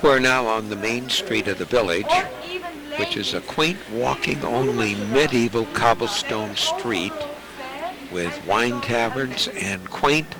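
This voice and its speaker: A man narrating a home travel video in a measured, informative way. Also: medium pitch, lively intonation, a crowd in the background.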